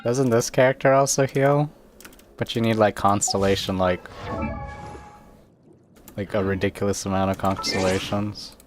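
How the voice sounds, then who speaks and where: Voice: low-pitched, fairly even intonation, normal speed, long pauses, faint music behind. A game streamer chatting casually and rambling to themselves and viewers while playing. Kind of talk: casual game livestream chat.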